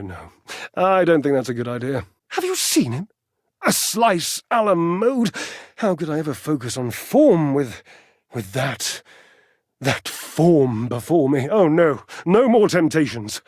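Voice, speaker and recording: An actor playing a flamboyant, vain fitness enthusiast in a video game, speaking grandly and melodramatically and handing out teasing pet names. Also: male voice, fairly high pitch, a little mellow, dry recording, clean studio sound. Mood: coy, fussy. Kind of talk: theatrical video game character acting.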